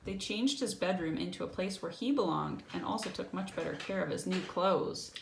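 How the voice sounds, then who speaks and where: A woman's voice: on the low side, normal speed, vocal fry. A woman reading a picture book aloud to a child, breaking off for casual explanatory asides and checking in with small questions. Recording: roomy echo, faint background noise.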